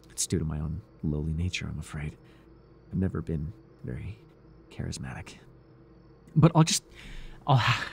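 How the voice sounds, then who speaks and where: A man's voice: fairly low pitch, gravelly. A man playing a zealous, awkward cultist, noisy recording. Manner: halting, earnest, swinging between grand chanting and flustered rambling. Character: devoted, awkward young male cultist, zealous, lovestruck, nervy. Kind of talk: ASMR roleplay voice acting.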